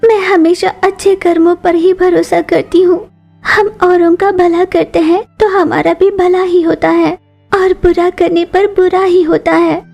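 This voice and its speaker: Casting: woman to play virtuous young girl bird for a kids' cartoon, extremely high, plaintive delivery. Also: flat delivery, unhurried, creaky, darkish tone, faint music behind, heavily acted. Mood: sorrowful. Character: sorrowful, virtuous young female bird, beauty contestant. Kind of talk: Hindi children's cartoon voice acting.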